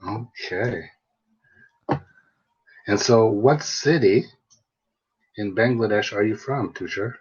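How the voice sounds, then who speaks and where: Male English tutor, slow and patient, husky low voice, dull lo-fi video call sound. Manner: patient, asks simple questions. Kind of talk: online English lesson conversation.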